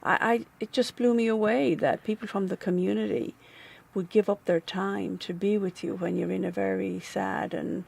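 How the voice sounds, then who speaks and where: A middle-aged woman with an Irish accent, answering interview questions about a grief center in a thoughtful, measured way, often pausing with um. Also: medium pitch, steady tone, gravelly, a little mellow, slightly rough. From Ireland. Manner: thoughtful, measured, with frequent um and restarts. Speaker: community manager at a grief center.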